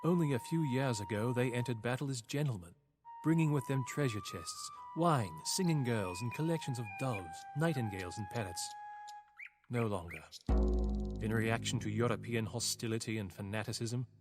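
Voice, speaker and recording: Game narrator: male actor as reflective captured knight, grave measured storytelling, mid-pitched, over music. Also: natural voice. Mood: somber, regretful. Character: captured crusader knight, weary reflective chronicler in Saracen service. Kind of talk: scripted narration. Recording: game cutscene with music underneath.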